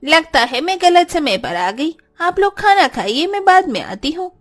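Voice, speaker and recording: A woman playing a friendly, chatty visitor in a Hindi cartoon story, with an animated way of talking. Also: very high voice, normal speed. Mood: polite. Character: friendly, chatty female visitor in a village household.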